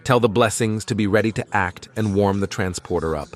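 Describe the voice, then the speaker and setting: A man acting a priest who commands a starship in a sci-fi audio drama. His voice sits low. He speaks calmly and firmly, in short deliberate lines, with stubborn resolve. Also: lightly acted. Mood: firm, defiant. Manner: terse, firm, deliberate.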